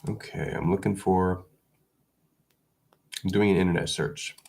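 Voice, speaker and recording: A man's voice: deep voice, level tone, unhurried, creaky. A man chatting casually to his viewers, congested and sniffly from an illness, rambling with frequent restarts. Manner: rambling, restarts, soft chuckles. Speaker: video creator. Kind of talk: casual vlog chat.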